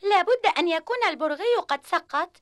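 A curious young cartoon kid asks questions in a very high voice. The tone is bright and sharp. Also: female voice, animated, quick pace. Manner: inquisitive, bright.

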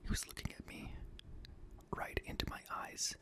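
A young man reading scary stories in a hushed, breathy ASMR whisper, gently, close to a studio microphone. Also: normal speed. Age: young adult. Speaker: host and reader of scary stories. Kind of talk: whispered ASMR horror story narration. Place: small indoor home studio room. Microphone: close boom-arm studio microphone.

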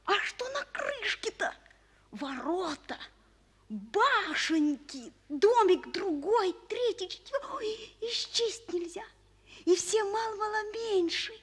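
Very high-voiced, wondering little boy. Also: lively intonation, heavily acted. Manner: childlike, slow, wondering. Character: curious, obedient little boy full of wonder. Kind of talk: 1940s children's radio play acting.

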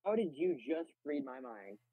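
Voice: medium pitch, very animated, normal speed, husky, narrow, tinny audio. A tabletop player chatting in a casual, joking way.

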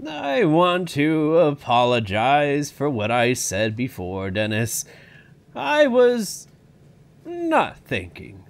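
Casting: man as older gentleman park owner for audio drama, solicitous and sheepish, very slow, wildly varied pitch. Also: huge pitch swings, creaky, exaggerated voice.

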